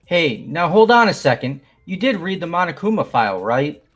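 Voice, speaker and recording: Man voicing a doubtful teen boy for a fan game dub; fairly high voice. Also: very animated, normal speed, a bit bright, soft background music. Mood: skeptical. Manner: skeptical, challenging.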